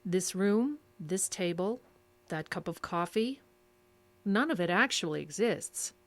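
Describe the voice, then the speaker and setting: Cryptic, composed, lilting interrogator. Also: female voice, lowish voice, lively intonation. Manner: measured, controlled, evasive. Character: cryptic, composed female interrogator offering help. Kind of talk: horror audio drama acting.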